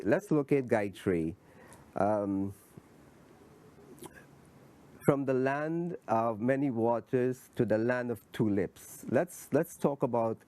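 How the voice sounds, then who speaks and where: A man hosts an interview in an unhurried, deliberate way, often saying a phrase twice before handing over. His voice is mellow and dark, at an ordinary male pitch, and his pace is very slow. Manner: deliberate, repeats phrases, many affirming murmurs. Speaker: interviewer, host. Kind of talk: interview questions.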